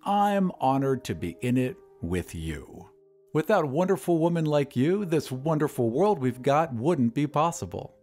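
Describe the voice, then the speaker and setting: Casting: man as big jovial doctor, pompous boom, very animated inflection, audio drama with music bed. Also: normal speed. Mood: booming, proud. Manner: booming, pompous, hearty. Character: huge hearty self-important male doctor, booming and jovial.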